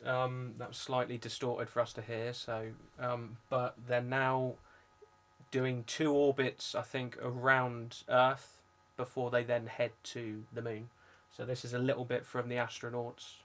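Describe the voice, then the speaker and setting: A young adult man presents a casual vlog. His voice sits at a middle pitch, and he talks in an informal, chatty way. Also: monotone, a little slow, fairly bright tone. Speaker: video channel host. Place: indoor music studio.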